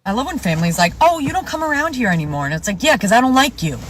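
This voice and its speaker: Sardonic woman, exasperated comic monologue, brisk, bright tone, faint background noise. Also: on the high side, very animated. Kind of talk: comedic monologue.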